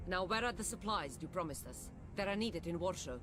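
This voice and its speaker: A woman's voice: lowish voice, lively intonation, fairly quick, over music, close to an everyday voice. An actress voicing a fierce young woman resistance fighter who speaks curtly and with threat. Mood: hard, demanding. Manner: curt and threatening.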